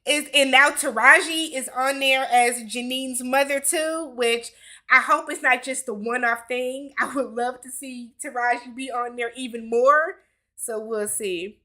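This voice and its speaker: Chatty woman host in her forties, bright and slightly high voice, loose livestream Q&A. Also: fairly even intonation, unhurried. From Milwaukee, Wisconsin. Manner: chatty, laughs often, reads viewer comments aloud. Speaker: YouTube host. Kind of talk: casual livestream Q&A chat.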